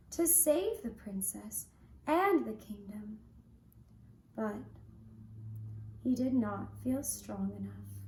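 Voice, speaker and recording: Woman, puppet show storyteller, deliberate fairy-tale narration, very slow, roomy echo. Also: a little high, darkish tone, slight background noise. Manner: deliberate, with long pauses between phrases. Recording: roomy, echoey sound.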